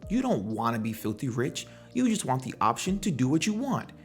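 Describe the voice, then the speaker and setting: A man's voice: mid-range voice, big pitch swings, brisk, soft background music. A man hosting a gaming commentary video, talking in a conversational, slangy way and throwing out rhetorical questions. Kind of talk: casual opinionated video commentary.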